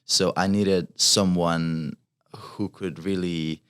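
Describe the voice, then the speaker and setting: A man's voice: sits low, fairly even intonation, slow, fairly bright tone, clean tone. A young man in his twenties from Hungary with a Hungarian accent, a college fencer talking as a guest, hesitant with many fillers and restarts. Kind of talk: casual interview chat.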